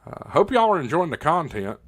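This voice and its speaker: A man hosting a casual livestream, talking in a folksy, halting way with pauses, close up on a studio microphone. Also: a little high, sing-song, fairly bright tone. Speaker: livestream host of a ham radio channel. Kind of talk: casual livestream chat answering viewer questions. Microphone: close directional studio condenser microphone, spoken right up on it.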